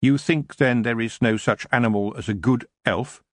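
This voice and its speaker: A man reading an audiobook, voicing a firm young witch girl who speaks with measured authority. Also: medium pitch, no room sound, clean studio sound, natural voice.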